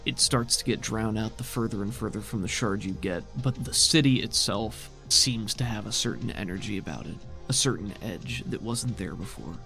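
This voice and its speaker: A man's voice: lowish voice, moderate pace, gravelly, hardly any pauses, bright, forceful tone. A man narrating a tabletop roleplaying game as game master, in a descriptive, conversational way. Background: music.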